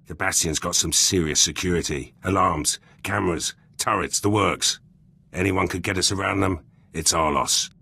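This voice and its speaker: An actor playing a gruff, blunt military lieutenant in a video game, rough-voiced and speaking in clipped sentences. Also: male voice, deep voice, moderate pace, bright, forceful tone, no room sound, full character voice. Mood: businesslike.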